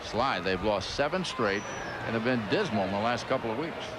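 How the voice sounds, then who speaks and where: A man's voice: on the low side, animated, moderate pace. An American man calling baseball play-by-play in a folksy, conversational way with clipped calls. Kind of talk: radio play-by-play commentary. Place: ballpark broadcast booth. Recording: noisy broadcast over loud ballpark crowd. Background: loud crowd and stadium ambience.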